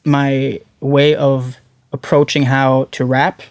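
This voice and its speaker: Thoughtful Norwegian rapper, dry sound. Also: male voice, mid-range voice, flat delivery, slow pace, dry recording. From Norway. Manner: thoughtful, hesitant, restarts words. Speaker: musician guest. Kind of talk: casual interview chat.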